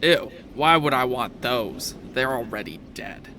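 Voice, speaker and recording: A ghoulish, picky creature speaks from its belly mouth, as a male game master plays it. It is blunt and morbid, with a creaky middle-pitched voice. Faint noise sits behind it. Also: animated, unhurried, pushed and bright. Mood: disdainful.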